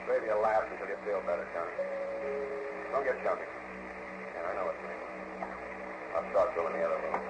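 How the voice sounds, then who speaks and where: An American man playing a sharp, sardonic, slangy private detective in a 1940s radio noir drama, on a noisy vintage recording. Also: deep voice, fairly quick, long pauses, loud music behind the voice, lightly acted. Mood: hard, needling. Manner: slangy, sardonic, street-smart. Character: sharp-witted, wisecracking, hard-boiled male private detective.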